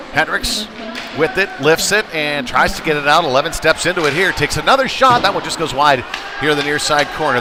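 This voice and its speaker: A man doing conversational, folksy live play-by-play commentary of a youth hockey game, getting excitable when play heats up. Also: medium pitch, noisy background. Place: indoor ice rink. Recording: live rink stream with music behind. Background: music over rink PA.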